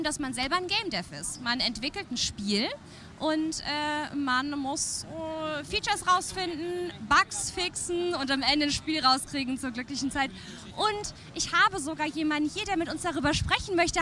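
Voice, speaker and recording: A woman hosting a live stream tour of a games fair, bubbly and excitable, chatting casually while walking. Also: high voice, slight background noise. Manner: bubbly, excitable, quick asides. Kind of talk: casual walk-and-talk chat. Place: busy indoor trade-fair hall.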